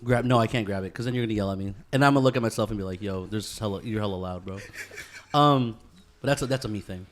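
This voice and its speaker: A man's voice: on the low side, fast talker. Casual, slangy man on a podcast. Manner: slangy, profane, says "dude" and "bro" often. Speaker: podcast host. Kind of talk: casual banter with co-hosts.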